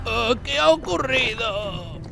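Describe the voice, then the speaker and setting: A man's voice: extremely high pitch, expressive, slow, gravelly, heavily acted. A man voicing a timid, hapless cartoon character in a fandub, plaintive and whining. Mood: hurt, bewildered. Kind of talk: animated fandub acting. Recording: loud music over the voice.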